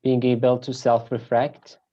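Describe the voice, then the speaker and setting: A woman's voice: extremely low pitch, little pitch movement, measured pace, mellow, lo-fi sound. A woman from Iran taking part in a webinar over a video call, giving brief, polite replies. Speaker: webinar participant. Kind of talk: casual discussion.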